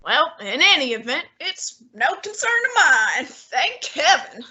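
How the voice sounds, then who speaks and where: A woman's voice: high voice, very animated, a little slow, muffled recording, a crowd in the background. A young woman voicing a pompous, imperious male detective in an amateur radio play, with a haughty, clipped manner. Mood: scornful. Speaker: high school drama student.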